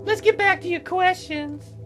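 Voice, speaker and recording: A woman's voice: extremely high pitch, normal speed, fairly bright tone, faint music behind, voice pushed up. A woman voicing a grieving, haughty widowed novelist in a visual novel, with a dramatic, proper manner. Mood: proud, indignant.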